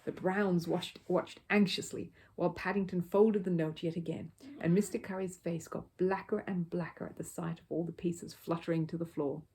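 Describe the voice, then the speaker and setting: A woman reading a children's storybook aloud as an expressive narrator. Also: lowish voice, moderate pace, hardly any pauses. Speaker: storybook reader.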